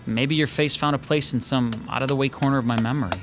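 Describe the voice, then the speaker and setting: A man voices an uneasy sketch artist in an old-time radio drama, speaking haltingly, as if something is wrong. His voice sits mid-range for a man. Music plays clearly behind him. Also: medium pitch, fairly even intonation, close to an everyday voice. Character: uneasy male sketch artist haunted by déjà vu. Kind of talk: classic radio drama acting.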